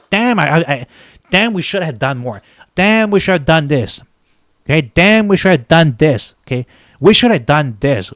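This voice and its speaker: A man's voice: a little high, no echo, studio quality. A man hosting a political livestream, ranting with passion, repeating himself and thanking viewers in chat. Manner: impassioned, repetitive, thanks chat viewers by name. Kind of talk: heated political rant on a livestream.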